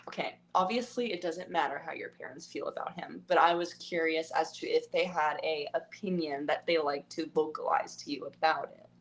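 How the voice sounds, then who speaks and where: A woman from the United States hosting a casual podcast chat, quick to react and agree. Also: low voice, rough recording. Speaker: podcast host.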